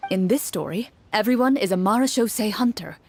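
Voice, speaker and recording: A woman's voice: medium pitch, animated, darkish tone, a little hiss or hum, close to an everyday voice. Woman as composed, courteous game master. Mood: calm, courteous. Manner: measured, formal, instructive. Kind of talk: game voice acting.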